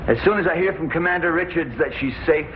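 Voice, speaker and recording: An actor playing a defiant, earnest young space hero in a 1950s television serial, speaking firmly and tersely. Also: male voice, a little high, fast, noisy background, lightly acted. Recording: muffled, thin 1950s TV soundtrack, music behind.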